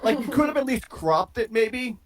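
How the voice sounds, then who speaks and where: A man giving casual critique in a group chat, measured and analytical. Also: very high voice, lively intonation, crowd noise. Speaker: guest reactor.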